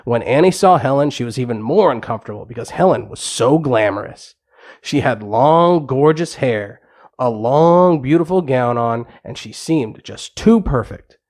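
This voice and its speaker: A man's voice: mid-range voice, expressive, normal speed, a little mellow. A man narrating a scripted bedtime story for kids.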